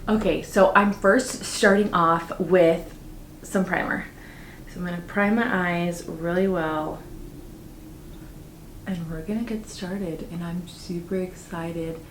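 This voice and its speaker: Upbeat beauty vlogger lady, bit raspy from just waking up, kinda echoey room. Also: lowish voice, steady tone, slow, fairly bright tone, slight background noise. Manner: chatty, upbeat, lots of "like" fillers. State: slightly raspy, just woke up. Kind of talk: casual makeup tutorial talk.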